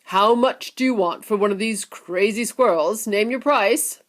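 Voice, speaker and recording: Woman doing a pompous wealthy dad, loud, brisk, self-important. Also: medium pitch, voice pushed up, close to an everyday voice. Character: pompous rich father.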